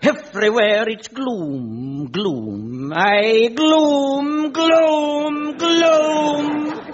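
Man doing a Scottish servant, gruff, broad dialect, slow drawl, lo-fi comedy recording. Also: high voice, lively intonation, a bit bright. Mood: gloomy. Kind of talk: broad sketch comedy with a live audience. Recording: dull lo-fi recording with faint audience.

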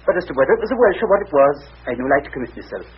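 A sly old Scottish junk shop keeper in a vintage radio play. His husky voice bounces high and low in quick, lively speech, and the whole thing sits on a muffled, dull old radio recording. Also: exaggerated voice. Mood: evasive. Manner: sly and grasping, bargaining for bribes. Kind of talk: vintage radio drama acting.

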